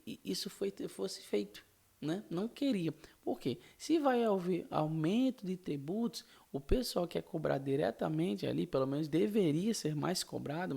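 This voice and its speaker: Gravelly, indignant Brazilian male news commentator. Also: fairly high pitch, mellow. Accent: Brazilian Portuguese. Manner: emphatic, indignant, repeats points with rhetorical questions. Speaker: online news channel host. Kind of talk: informal political news commentary, reading articles and reacting.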